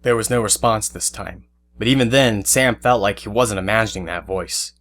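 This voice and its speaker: A man's voice: fairly low pitch, normal speed, fairly bright tone. A man giving scripted third-person narration for an audio drama. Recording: faint music under narration. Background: faint music.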